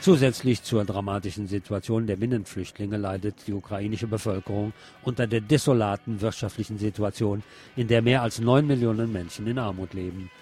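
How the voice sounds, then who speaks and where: A man's voice: fairly low pitch, warm tone, slightly rough. A man reading scripted news narration and commentary as a presenter.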